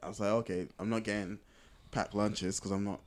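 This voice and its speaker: A man with a fairly low voice talks casually as a podcast co-host. He explains himself reflectively, stopping and rephrasing as he works through his point. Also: flat delivery, brisk, a little mellow, slight background noise. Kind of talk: casual conversational podcast chat.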